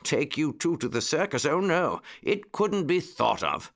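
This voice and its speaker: A man voicing a kindly, fussy old gentleman rabbit in a children's story, with a genteel, exclamatory manner. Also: medium pitch, very animated, measured pace, voice pushed up. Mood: indignant.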